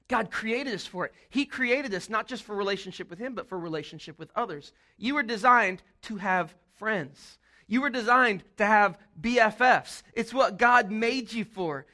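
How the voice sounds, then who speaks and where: A male pastor giving a casual, animated sermon with quick asides. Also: sits high.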